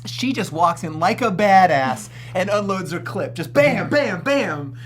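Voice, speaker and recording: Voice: mid-range voice, expressive, unhurried, a little hiss or hum. A film show panelist casually retelling scenes in emphatic, choppy phrases. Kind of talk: casual storytelling film critique.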